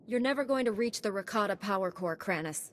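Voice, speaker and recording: An actress playing a calm, resolute female knight hero in a video game. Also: mid-range voice, a little fast, slight background noise, lightly acted. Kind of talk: dramatic video game voice acting. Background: music behind the voice.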